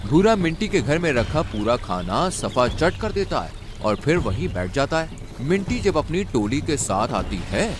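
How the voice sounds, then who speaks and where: A man's voice: a little high, sing-song, a little slow, hardly any pauses, noisy background. A man narrating a scripted cartoon story.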